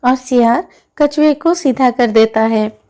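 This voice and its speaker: A woman's voice: fairly high pitch, slow pace, dark tone. A woman narrating a scripted moral story for children. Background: music.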